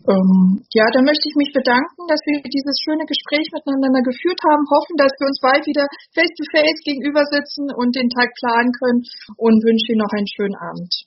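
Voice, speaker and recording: A woman's voice: sits high, brisk, lo-fi sound, slight background noise. A woman hosting a community radio show, stuttering with frequent repeated sounds and words. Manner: stutters, frequent word repetitions.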